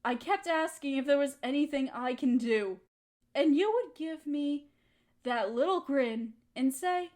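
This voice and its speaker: Actress for bitter, hurt young woman, high-pitched, emotional audio drama monologue, confrontational and accusing. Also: expressive, measured pace, darkish tone. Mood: bitter, reminiscing. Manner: emotional, accusatory, pointed rhetorical questions. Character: hurt, resentful young woman confronting her longtime roommate.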